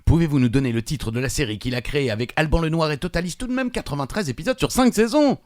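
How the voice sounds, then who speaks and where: A man co-hosting a quiz podcast, his pitch bouncing up and down as he talks. He reads out long strings of dates and names, then drops into joking banter. The sound is close and dry. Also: animated, quick pace, no echo. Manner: reads show facts in long run-on lists, chatty and joking. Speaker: quiz co-host.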